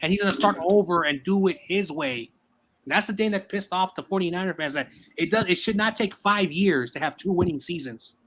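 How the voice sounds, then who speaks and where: A man with a slightly high voice talks football in a casual hangout. He rambles and repeats words as he builds his point, loose and conversational. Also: brisk, fairly bright tone, rough recording. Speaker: sports show host. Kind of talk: casual sports debate.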